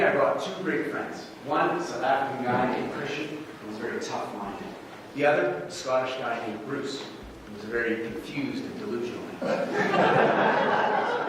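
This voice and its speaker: A man giving a humorous storytelling speech at a contest, with an animated, theatrical manner. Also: medium pitch. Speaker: contest speaker. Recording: echoey hall, distant mic, background noise.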